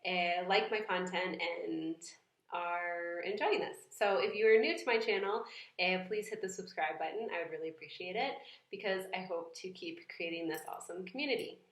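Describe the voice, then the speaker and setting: A woman's voice: fairly low pitch, normal speed. Reflective young woman in an echoey room. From New England, United States. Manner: thoughtful, hesitant, many fillers and restarts. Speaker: vlogger. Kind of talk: casual reflective vlog talk. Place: home room.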